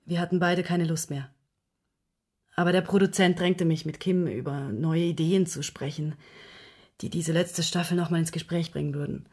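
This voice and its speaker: An actress in a radio play playing a distressed woman who confides intimate matters in a halting, emotionally wounded way. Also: lowish voice, darkish tone, slightly rough. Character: distressed woman confessing her secret love affair to investigator.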